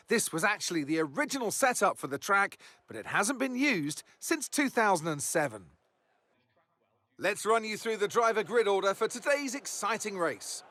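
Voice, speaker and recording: A man's voice: high-pitched, a bit bright, no room sound. A man giving polished, upbeat race broadcast commentary in an announcer's manner. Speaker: sports commentator.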